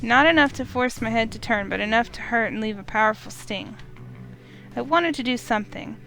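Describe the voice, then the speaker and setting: A woman's voice: fairly high pitch, fairly quick, vocal fry, pushed and bright, soft background music, rough recording. Woman narrating a dramatic visual novel. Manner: emotive, urgent questioning. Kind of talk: dramatic first-person narration.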